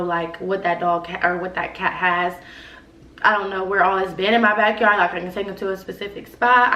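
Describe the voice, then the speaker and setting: A woman's voice: mid-range voice, pushed and bright, muffled recording, a little hiss or hum. A young woman vlogger with a stuffy nose, chatting casually and rambling with lots of "like" and "y'all". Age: young adult. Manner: rambling, filler-heavy, frequent "like" and "y'all". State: stuffy nose, sick with a cold-like illness. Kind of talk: casual chat to camera. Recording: echoey room, voice a bit far from mic.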